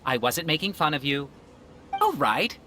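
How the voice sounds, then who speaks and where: A woman's voice: low-pitched, normal speed, exaggerated voice. A woman playing a playful, teasing, outgoing young townswoman in a video game. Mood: reassuring. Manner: teasing, animated.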